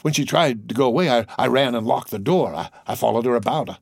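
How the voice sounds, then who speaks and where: Man reading as wrinkled old man, lonely, agitated, thin shaky voice, halting repeated words, literary storytelling, dry close sound. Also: medium pitch, lively intonation, no echo, pitched above the performer's own voice. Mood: agitated, pleading.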